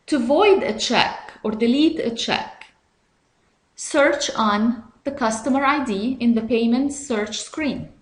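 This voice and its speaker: A woman reading scripted step-by-step software instructions in a measured, instructional way. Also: a little high, roomy sound. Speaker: software tutorial narrator.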